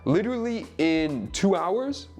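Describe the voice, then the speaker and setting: A man's voice: high voice, very animated, unhurried, darkish tone, soft background music. A man vlogging to camera at home, talking in an upbeat, emphatic way and sounding slightly congested from a cold. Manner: upbeat, chatty, emphatic with frequent "literally". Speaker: YouTube creator and web developer. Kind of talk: casual vlog talk to camera. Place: two-bedroom home in California, filming on the floor.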